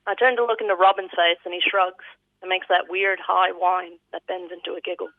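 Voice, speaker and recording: A woman's voice: fairly low pitch, flat delivery, fairly quick, dry recording. A woman with an Australian accent reading a personal eulogy as vivid literary storytelling. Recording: thin phone-line sound.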